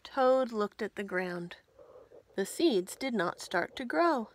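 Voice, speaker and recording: Slow-paced woman reading a children's story. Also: mid-range voice, animated, slight background noise. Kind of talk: read-aloud children's storytelling.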